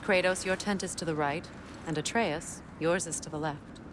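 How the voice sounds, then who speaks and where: An actress playing a proud warrior queen and goddess general, composed and measured. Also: on the low side, lightly acted. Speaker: video game character. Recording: game audio with steady background noise.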